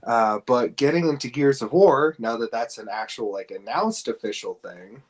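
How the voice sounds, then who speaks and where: A man's voice: mid-range voice, expressive, moderate pace. A man co-hosting a podcast, chatting informally and a little hesitantly about pop culture. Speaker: podcast co-host. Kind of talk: casual chat about games and film casting.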